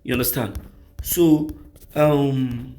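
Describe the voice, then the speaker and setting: A man's voice: medium pitch, fairly even intonation, slow, mellow. A man with a Nigerian accent reading out news and giving impassioned commentary, repeating phrases for emphasis.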